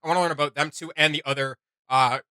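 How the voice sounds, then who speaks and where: A man chatting on a reaction channel, excited and rambling, full of likes and ums. His voice has a bright edge. It is recorded dry and close. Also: on the high side, quick pace, clear, resonant tone, no echo. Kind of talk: casual reaction chat.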